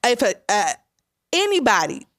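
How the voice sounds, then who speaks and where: A woman's voice: medium pitch, huge pitch swings, moderate pace, a bit bright, dry recording, clean studio sound. A woman co-hosting a podcast, insistent and pressing rhetorical questions while holding the floor. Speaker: podcast co-host. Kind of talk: casual podcast discussion.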